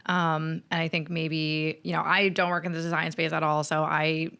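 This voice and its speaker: A woman's voice: sits low, flat delivery, moderate pace, gravelly, a bit bright, smooth. A young woman co-hosting a podcast, chatting casually and saying "like" often. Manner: chatty, frequent "like". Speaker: podcast co-host. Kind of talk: casual chat.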